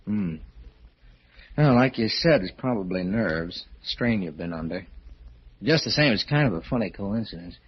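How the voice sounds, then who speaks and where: A man's voice: fairly low pitch, lively intonation, normal speed, breathy edge. A man playing a weary, plain-spoken police detective with an American accent in an old-time radio drama. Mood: casual, wry. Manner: resigned, colloquial. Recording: muffled old radio recording.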